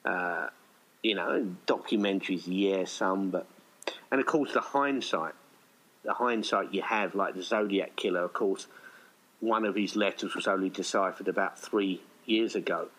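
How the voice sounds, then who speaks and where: A man's voice: deep voice, moderate pace, a bit bright, poor audio. A man with a British accent talking casually in a rambling, chatty way, as a writer of audio plays. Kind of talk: casual conversation.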